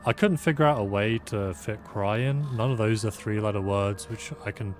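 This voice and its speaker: A man's voice: fairly low pitch, moderate pace, faint music behind. A man streaming a game, thinking aloud about a puzzle in a casual, sleepy, self-deprecating way. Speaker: gameplay streamer. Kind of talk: casual let's-play commentary.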